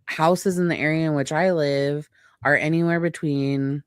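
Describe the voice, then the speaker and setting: A woman's voice: low voice, steady tone, moderate pace, clear, resonant tone, dry recording, studio quality. A woman in her late thirties chatting casually as a podcast co-host, rambling and acting out imagined quotes. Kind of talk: casual personal chat.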